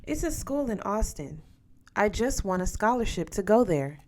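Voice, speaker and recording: A woman's voice: mid-range voice, sing-song, normal speed, a little mellow, close to an everyday voice. A woman voicing an earnest, polite teenage schoolgirl who questions her elders in a storybook. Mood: earnest, hesitant.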